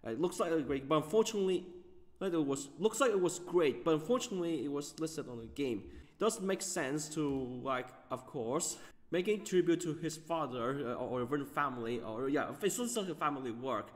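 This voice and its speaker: A man hosting a gaming video, giving off-the-cuff commentary in a halting way, often stumbling over his words. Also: a little high, animated, in a large hall.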